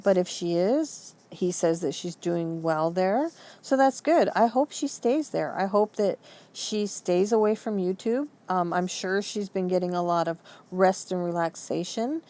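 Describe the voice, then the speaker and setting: A woman's voice: mid-range voice, darkish tone. A woman talking casually and emphatically as she vents her opinions in a rambling, conversational online commentary. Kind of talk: casual opinion vlog, venting.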